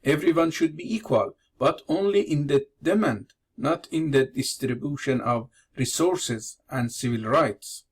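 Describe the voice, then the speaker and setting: Measured Iranian-born man lecturing. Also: a little high, expressive, husky. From Iran. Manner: measured, deliberate delivery in non-native English. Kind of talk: prepared lecture with slides.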